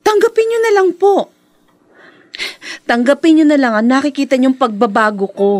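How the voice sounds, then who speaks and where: A woman's voice: high voice, expressive, moderate pace, darkish tone, soft background music. An actress playing an evasive, sweet-talking young woman in a Filipino radio drama, with a Filipino accent. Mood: defensive, evasive.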